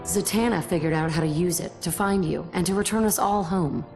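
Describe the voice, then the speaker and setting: Woman acting a low-voiced, resolute heroine. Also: fairly quick, over music, close to an everyday voice. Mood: composed. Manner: firm, serious. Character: composed, resolute warrior heroine, adult woman.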